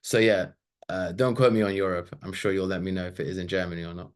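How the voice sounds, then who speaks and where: Man, thirties, lowish voice, loose chatty webinar delivery. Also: fairly even intonation, fast, smooth. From Sweden. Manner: loose and chatty, with fillers and asides. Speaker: webinar presenter. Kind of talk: casual slide-based webinar talk.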